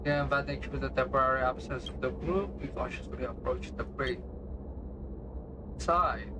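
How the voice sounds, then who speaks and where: A man's voice: mid-range voice, normal speed, noisy background. A man streaming a game, reacting casually in short slangy mutters and reading on-screen text aloud.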